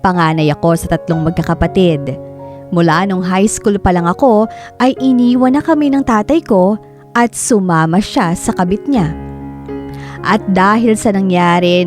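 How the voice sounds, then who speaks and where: A woman's voice: lowish voice, natural voice. An actress playing a dutiful eldest daughter in her thirties, telling her story in earnest, plaintive radio drama narration. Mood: somber. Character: Karen, 33-year-old dutiful eldest daughter recounting family hardship. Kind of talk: scripted dramatic first-person radio narration. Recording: soft background music under the voice.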